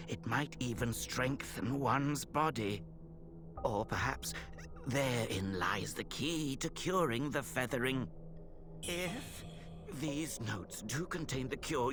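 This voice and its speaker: A polite solemn taoist temple healer for a video game, really unhurried, creaky n breathy, music behind him. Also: male voice, mid-range voice, animated. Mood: curious, hopeful. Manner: formal, measured, gentle phrasing. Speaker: non-player character in a video game. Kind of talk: dramatic video game dialogue. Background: background music.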